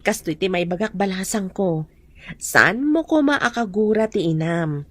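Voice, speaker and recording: A woman playing a concerned, gently admonishing Filipino aunt in a radio drama, earnest and questioning. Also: mid-range voice, animated, measured pace, no echo. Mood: concerned, coaxing.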